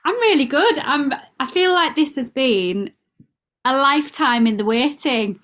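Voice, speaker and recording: Woman host, fairly high voice, friendly and giggly in conversation. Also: animated, measured pace. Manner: warm, affectionate, laughs easily. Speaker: podcast host. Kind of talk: casual interview chat.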